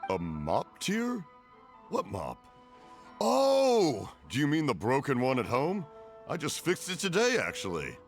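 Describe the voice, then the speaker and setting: A man voicing a kindly, doting older father in an anime-style video game, gentle and slightly befuddled. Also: on the high side, wildly swooping pitch, unhurried. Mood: puzzled. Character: kindly, doting older father, hard-of-hearing fireworks maker. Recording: faint music behind dialogue.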